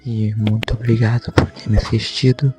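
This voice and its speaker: A man's voice: fairly low pitch, little pitch movement, normal speed, over loud music. A man with a Brazilian accent informally narrating a story recap as a video host, in a conversational way with repetitive phrasing. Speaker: YouTube host.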